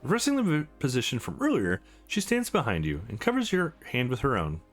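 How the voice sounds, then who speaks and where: Animated man reading a game aloud over music. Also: medium pitch, fairly quick. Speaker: let's play host reading a visual novel aloud. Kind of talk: expressive read-aloud of game narration and dialogue. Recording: music playing behind the voice.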